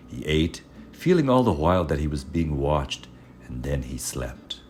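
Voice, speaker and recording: A man reading a fairy tale aloud as storyteller, measured and expressive in his scripted narration. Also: very deep voice, a little hiss or hum.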